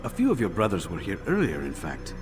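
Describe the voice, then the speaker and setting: Deep-voiced sly assassin clerk, super animated pitch, polite but teasing, game scene with music. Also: male voice, a little fast. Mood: genial, needling. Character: genial but needling male assassin bureau keeper. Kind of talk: video game voice acting.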